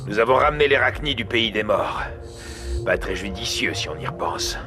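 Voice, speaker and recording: A man's voice: fairly low pitch, lively intonation, moderate pace, music in the background, close to an everyday voice. A man playing a jaded, edgy scientist in a dubbed video game. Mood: edgy, rueful.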